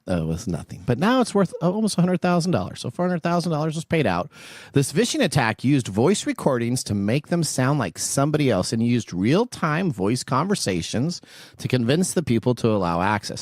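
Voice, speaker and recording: A man's voice: mid-range voice, no echo, clean studio sound. A man hosting a tech radio show, explaining and discussing the news in a conversational, explanatory way.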